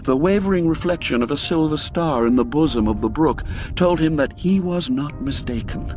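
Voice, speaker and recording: A man narrating an audiobook as dramatic storytelling, with measured, deliberate, suspenseful phrasing. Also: a little high, expressive, darkish tone. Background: music under the voice.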